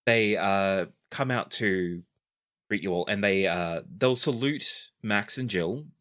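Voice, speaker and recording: A woman improvising narration as the game master of a tabletop game, descriptive and halting. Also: rumbling low, fairly bright tone.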